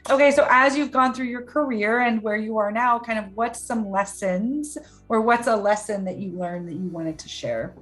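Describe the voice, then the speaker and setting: A woman's voice: fairly high pitch, normal speed, a bit bright, soft background music. A woman hosting a video-call interview, chatty and enthusiastic. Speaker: interview host. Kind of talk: interview chat.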